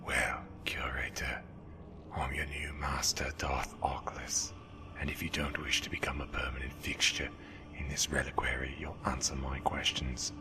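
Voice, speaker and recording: A man playing a menacing, sardonic Sith lord in a sci-fi audio drama, with a deep whispery rasp. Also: level tone, over music. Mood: threatening. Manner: slow-burning threats, sardonic. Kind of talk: fan audio drama acting.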